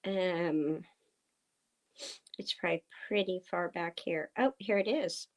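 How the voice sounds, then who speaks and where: A woman from Oklahoma hosting a casual livestream chat with viewers. Her voice is slightly hoarse. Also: lowish voice, dull audio. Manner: slow, chatty, talking to viewers. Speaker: livestream host. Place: farm home indoors. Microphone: computer streaming setup.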